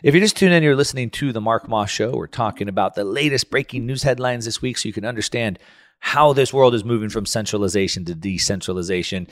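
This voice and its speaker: A man's voice: lowish voice, quick pace, dry recording, studio quality. A man hosting a radio talk show, speaking in an emphatic, conversational way. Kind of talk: radio talk show commentary with scripted ad reads.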